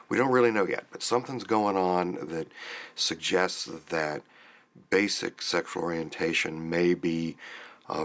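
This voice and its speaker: A man speaking as a researcher, explaining in a measured, academic way. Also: deep voice, a bit bright. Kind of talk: explanatory interview.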